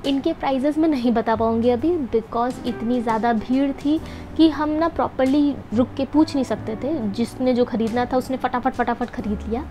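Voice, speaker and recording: A young woman's high voice runs through a chatty, upbeat voice-over for her vlog. She talks straight to the viewers and keeps things friendly. Faint music plays behind her. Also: moderate pace, mellow, poor audio. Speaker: food and event vlogger. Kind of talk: voice-over narration mixing Hindi and English.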